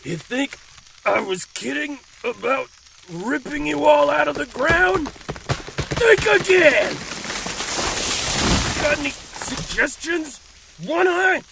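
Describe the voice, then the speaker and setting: An actor playing a hot-headed, sarcastic superhero who grumbles defiantly in an audio drama. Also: male voice, extremely high pitch, animated, slow, creaky, long pauses, background noise, exaggerated voice. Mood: alarmed, fierce.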